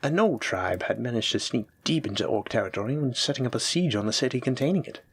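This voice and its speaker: A man's voice: mid-range voice, animated, quick pace, a little hiss or hum, close to an everyday voice. A man playing a kind, bookish dragon-blooded librarian in an intimate roleplay audio drama, explaining things in an earnest, reassuring way. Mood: earnest, storytelling.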